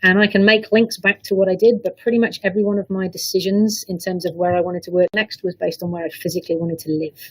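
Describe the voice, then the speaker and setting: A British woman speaks over a video call in a slightly husky, breathy voice, explaining her ideas earnestly and at length. She is a university director giving considered answers in a panel interview, with small restarts as she thinks. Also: fairly low pitch, fairly even intonation, fast talker, few pauses, low-quality recording. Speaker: university director of student employability.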